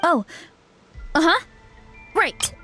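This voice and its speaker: A woman voicing a mild, sincere young heroine in a video game, with a gentle, girlish, thoughtful delivery. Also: very high-pitched, sing-song, drawn-out words, loud music behind the voice, heavily acted. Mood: agreeable. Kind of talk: video game character acting.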